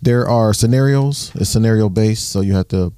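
Low mellow ohio cop guy, lots of ums, dry close sound. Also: normal speed, clean tone, dry recording. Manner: hesitant, lots of ums, matter-of-fact. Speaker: police officer, recruiter. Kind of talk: interview answers.

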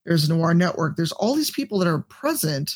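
A woman with a deep voice, a podcast guest and streamer giving blunt, profane advice in casual chat. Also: big pitch swings, moderate pace, darkish tone, breathy edge.

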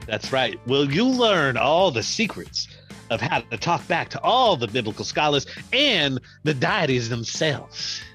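Animated man improvising a folksy spoof advert. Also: mid-range voice, a little slow, soft background music. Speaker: improv comedy performer. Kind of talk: improvised parody radio commercial.

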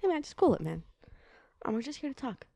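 A woman putting on a soft-spoken male good cop who chats in a hushed, friendly way. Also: high-pitched, very animated, fast, vocal fry, full character voice. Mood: coaxing. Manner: hushed, friendly, calls him man.

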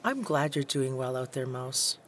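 An actor in an intimate audio drama playing a plain-spoken, quietly sentimental young childhood friend. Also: medium pitch, animated, measured pace, slight background noise. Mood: quietly warm.

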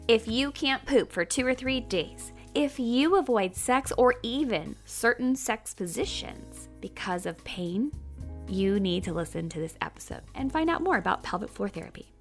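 A woman's voice: on the high side, expressive, unhurried, faint music behind. A woman hosting a podcast, speaking frankly and casually while sounding congested from a cold. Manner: frank and candid, swears casually. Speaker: podcast host. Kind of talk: casual podcast intro monologue.

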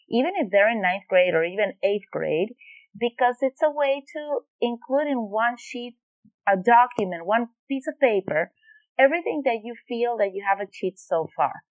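A woman's voice: medium pitch, no echo, dull audio. A woman from Venezuela working as an educational consultant, explaining her advice in a podcast interview with some fillers and restarts.

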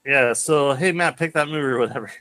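A man's voice: medium pitch, quick pace, pushed and bright, no room sound, studio quality. A man co-hosting a podcast, talking in an informal, conversational way. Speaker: podcast co-host. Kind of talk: casual chat.

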